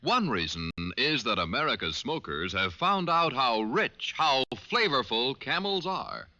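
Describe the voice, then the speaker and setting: A man's voice: medium pitch, big pitch swings, measured pace, dull audio. A man working as a radio announcer in a 1950s broadcast advertising style. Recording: old radio broadcast with loud music.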